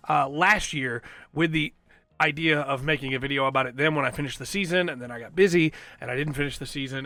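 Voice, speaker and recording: A man hosting a podcast, giving an enthusiastic, rambling review with a voice that is slightly going hoarse. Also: on the high side, bright, forceful tone, dry recording. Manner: enthusiastic, rambling with fillers and restarts. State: slightly losing his voice. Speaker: podcast host reviewing an audio drama. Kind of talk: casual solo review.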